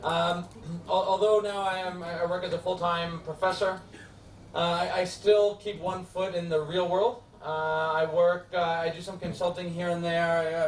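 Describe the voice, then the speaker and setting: Man, New York accent, law lecturer, higher voice, halting with fillers, distant mic, echoey hall. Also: slight background noise. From United States. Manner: friendly, halting, many uh fillers. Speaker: law professor. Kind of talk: classroom lecture.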